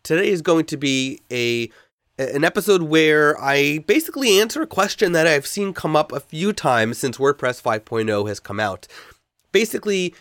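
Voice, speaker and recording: A man's voice: on the high side, sing-song, moderate pace, a bit bright, no room sound, clean studio sound. A man hosting a podcast, congested from a cold, explaining a technical fix step by step with frequent fillers and restarts. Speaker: podcast host.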